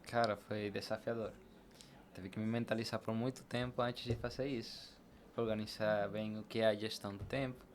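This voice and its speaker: A man's voice: lowish voice, steady tone, moderate pace, a little hiss or hum. A man speaking as an interview guest, telling his experiences in a conversational podcast style. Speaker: podcast guest, barber and shop team leader.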